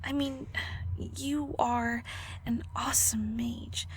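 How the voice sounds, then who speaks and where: A woman playing a prickly, guarded but secretly caring alchemist in an audio roleplay, halting and flustered, teasing and defensive. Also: a little high, steady tone, vocal fry, a little hiss or hum. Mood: grudging praise. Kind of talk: audio roleplay acting, intimate one-sided dialogue.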